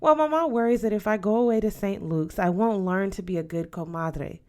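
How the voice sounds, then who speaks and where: A polite teenage schoolgirl in a storybook, read by a woman. She sounds earnest and questioning, with a medium-pitched voice as she talks with her godmother. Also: fairly quick, a little mellow, natural voice. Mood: earnest, hesitant.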